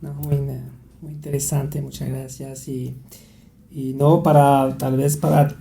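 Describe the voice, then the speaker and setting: A man conducts an interview in a halting way, restarting his sentences often. His voice is very dark and mellow, and it sounds roomy and echoey. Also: medium pitch, flat delivery, creaky. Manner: hesitant, many restarts and fillers. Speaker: interviewer for a language institute. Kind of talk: interview conversation.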